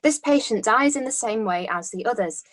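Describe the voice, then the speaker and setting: A woman's voice: on the high side, animated, normal speed. A woman narrating the stage directions of a screenplay in a scripted read-through.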